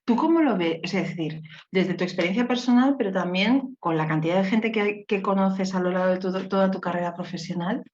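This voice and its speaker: A woman's voice: mid-range voice, a little fast, a little mellow, dull audio. A woman hosting a podcast interview who speaks to her guest in an affectionate, encouraging way. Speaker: podcast host. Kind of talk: conversational interview.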